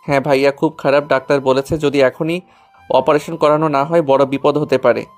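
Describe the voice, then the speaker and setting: A mid-pitched male voice from an actor plays a lovestruck young man in an audio drama. He comes across as an eager, evasive younger brother, with faint music underneath. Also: fairly even intonation, fairly quick, close to an everyday voice. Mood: pleading. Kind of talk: Bengali audio story acting.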